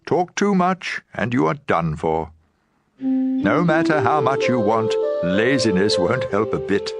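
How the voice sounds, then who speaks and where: A man's voice: medium pitch, very animated, unhurried. A man giving a dramatized reading of scripture as an audio Bible reader. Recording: prominent background music. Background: music.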